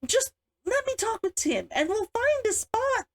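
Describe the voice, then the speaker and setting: A woman's voice: very high voice, sing-song, unhurried, pitched above the performer's own voice, heavily acted. A woman reading the part of a stern, exasperated elder sister and dutiful matriarch in a storybook, in a scolding manner. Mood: pleading. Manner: growling, muttering, scolding.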